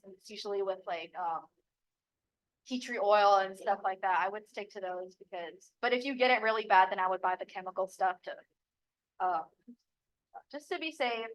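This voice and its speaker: A woman's voice: fairly high pitch, fairly bright tone, rough recording. A young woman from Bali giving casual, chatty advice as a local guide, with lots of "like" fillers. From Bali, Indonesia. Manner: chatty, many "like" fillers, laughs easily.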